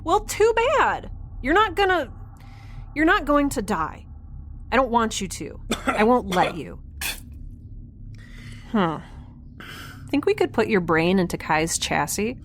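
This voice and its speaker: Very animated, stubborn young spacefarer. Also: female voice, a little high, takes it slowly, pauses often, a little hiss or hum, exaggerated voice. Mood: desperate, insistent. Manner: chatty, emphatic, wry. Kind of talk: audio drama acting.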